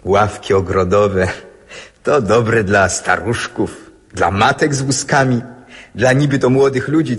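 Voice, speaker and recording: An actor playing a restless, dreamy schoolboy in a radio drama, recorded with a muddy reverberant sound. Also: male voice, lowish voice, slightly rough. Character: restless schoolboy, impatient dreamer, eager and shy.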